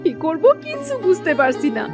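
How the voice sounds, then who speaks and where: A woman's voice: very high-pitched, sing-song, normal speed, loud music behind the voice, full character voice. A woman voicing a caring, anxious village wife and mother in a cartoon story, coaxing as she speaks. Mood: worried. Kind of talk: Bengali cartoon story voice acting.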